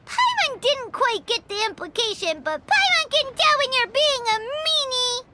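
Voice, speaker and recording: A woman voicing a tiny, excitable fairy girl companion in a video game, squeaky and childlike, calling herself by name. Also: normal speed, very noisy. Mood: excited, chatty. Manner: refers to herself by name, exclamatory.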